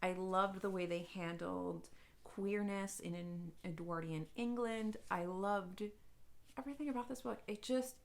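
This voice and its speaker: A woman's voice: mid-range voice, creaky. A woman chatting casually to viewers as a book reviewer, informal, gushing and emphatic, with some self-deprecation. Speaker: book reviewer, video host.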